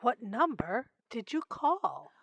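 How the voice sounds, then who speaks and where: An actress playing a courteous, unflappable telephone operator in an old-time radio play, speaking in detached set phrases. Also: female voice, on the high side, big pitch swings, takes it slowly, creaky, a bit bright, breathy edge, no echo, full character voice. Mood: detached, patient. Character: polite, unflappable female telephone switchboard operator.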